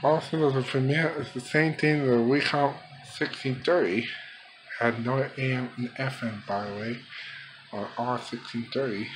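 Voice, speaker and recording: A man giving an unscripted showcase of his collection in halting, fragmented phrases, recorded on a handheld camera in a room. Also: mid-range voice, steady tone. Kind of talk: unscripted product showcase vlog. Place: small room by a window. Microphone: handheld camera, speaker off screen. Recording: background noise, homemade handheld camera audio.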